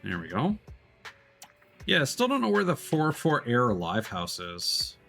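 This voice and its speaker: A man gives casual commentary while he plays a video game. His pitch moves around in a lively way, and music plays behind him. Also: measured pace, vocal fry. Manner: reads game dialogue aloud in character voices. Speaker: streamer playing a video game. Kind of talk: casual let's-play commentary.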